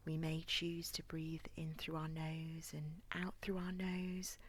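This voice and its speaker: A woman leading a guided body scan meditation in a soft, near-whispered, breathy voice with a calm, gentle, soothing manner. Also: deep voice, takes it slowly. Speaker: guided relaxation instructor.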